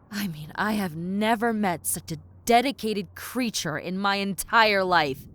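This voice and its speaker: A woman's voice: medium pitch, a little hiss or hum. A woman voicing a brash tomboy succubus in a roleplay audio, sarcastic, incredulous and casually blunt. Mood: dry, incredulous. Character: brash tomboy succubus demon, blunt, sardonic, reluctantly helpful. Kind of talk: roleplay audio acting, conversational.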